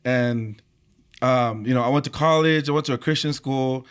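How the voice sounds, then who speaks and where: A man whose first language is Tongan, speaking in a soft-spoken, easygoing way during a casual interview. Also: mid-range voice, normal speed, smooth. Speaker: choir leader, band member.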